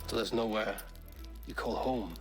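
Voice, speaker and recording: A man's voice: lowish voice, measured pace, soft background music, natural voice. Man playing a blunt, commanding Viking warrior. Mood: curious. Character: resolute young Viking warrior leader, plain-spoken and loyal. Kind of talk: dramatic video game acting.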